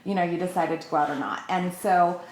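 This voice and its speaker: A woman's voice: fairly low pitch, steady tone, fairly quick. Chatty woman in an echoey room. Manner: chatty, informal, peppers speech with "like". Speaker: podcast guest, hairdresser. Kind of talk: casual chat, telling dating stories. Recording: roomy, echoing room sound.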